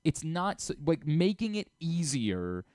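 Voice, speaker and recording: Clear-voiced American male sports radio host. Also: a little high, very animated, unhurried, darkish tone, dry recording, clean studio sound. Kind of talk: conversational sports radio interview.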